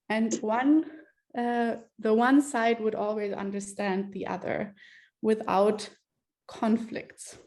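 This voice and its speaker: A woman's voice: on the high side, a little mellow. Give me a woman filmmaker born in Germany and raised in Ethiopia, talking reflectively on a video call. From born in Germany, grew up in Ethiopia. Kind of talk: online panel talk.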